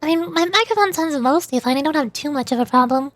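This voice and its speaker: High-pitched giggly woman streamer. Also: lively intonation, fast, a bit bright, no room sound. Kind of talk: casual livestream chat.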